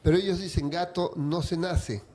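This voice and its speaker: Mellow-voiced writer in interview. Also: male voice, fairly high pitch, fairly even intonation, a little hiss or hum. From Peru. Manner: reflective, unhurried, with small restarts. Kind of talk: relaxed interview answers.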